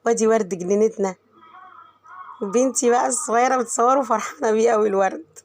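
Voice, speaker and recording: Middle-aged Egyptian woman, home vlogger with a cold, hoarse and quick, chatty and warm toward her viewers. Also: on the high side, slight background noise. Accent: Egyptian Arabic. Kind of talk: chatty voice-over narration of home cooking.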